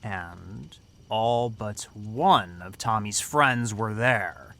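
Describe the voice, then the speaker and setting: A man playing a cheerful young camp counselor who tells scary stories with dramatic pauses in an audio drama. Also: fairly low pitch, creaky, a bit bright, heavily acted. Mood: suspenseful. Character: young male camp counselor, theatrical campfire storyteller, cheerful. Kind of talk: audio drama acting, telling a scary story.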